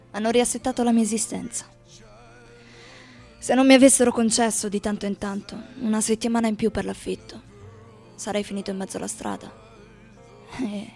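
A woman acting a boozy, brash character in a theatrical radio play, speaking expressively and haltingly. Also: mid-range voice, vocal fry, a little mellow, natural voice. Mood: wistful. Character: boozy, brash, teasing woman lover; later reminiscing starving writer. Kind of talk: theatrical radio drama monologue.

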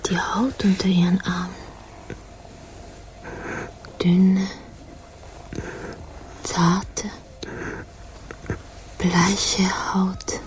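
A woman playing a tender, yearning seductress in a radio drama, murmuring in a breathy whisper. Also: lowish voice, flat delivery, drawn-out words, long pauses, a little hiss or hum, rough recording.

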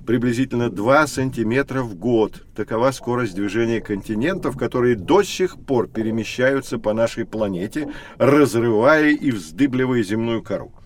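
A man's voice: mid-range voice, a little mellow, close to an everyday voice. A man playing a kindly, knowledgeable professor, patiently explaining things in a children's educational audio drama. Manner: patient, didactic.

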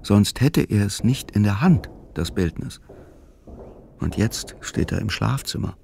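A man acting as the pensive narrator of a literary radio play, voicing a solitary widower's thoughts in a dry, deliberate way. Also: on the low side, expressive, creaky, a little mellow, slight background noise.

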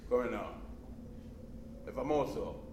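An elderly guardian from the country, bossy and fretful, in a stage-like radio drama. His delivery is slow and emphatic, swinging between hushed and exclaiming. The room rings around him, with a faint layer of noise. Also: mid-range voice, expressive. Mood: aggrieved, insistent. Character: older, overbearing, anxious country uncle and guardian. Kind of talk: theatrical radio drama acting. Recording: roomy echo, faint background noise.

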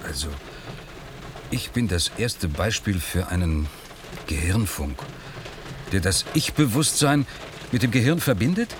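A low male voice with wide swings in intonation sounds over clear background noise. He is a guarded, brooding man in a radio drama, sceptical and probing. His delivery is terse and dramatic. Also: expressive, unhurried, long pauses. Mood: brooding, agitated. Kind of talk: German radio play acting.

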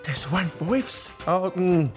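An actor playing a worn former partisan haunted by war, speaking in brooding, broken phrases in a poetic radio drama. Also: male voice, high-pitched, animated, takes it slowly, music in the background. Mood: haunted, remembering.

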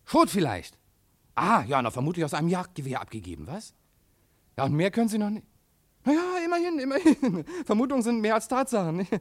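Bossy flustered newspaper editor in a radio play, highish voice, swings all over, impatient blustering. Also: male voice, sing-song, brisk. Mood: eager, excited. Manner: blustering, impatient, stop-and-start thinking aloud. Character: excitable, bossy male local newspaper editor. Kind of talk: German radio play acting.

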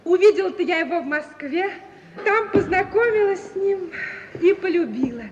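Young widow, very high pitch, coquettish, theatrical, willful, muffled 1950s radio recording. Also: female voice, expressive, moderate pace, a little mellow. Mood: teasing, delighted. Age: young adult.

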